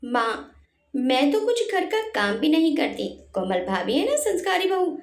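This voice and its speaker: A woman's voice: high-pitched, big pitch swings, measured pace, full character voice. A woman voicing a lazy, sly young daughter-in-law in a family story, with a smug, wheedling manner. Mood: smug, gloating. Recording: roomy echo on the voice.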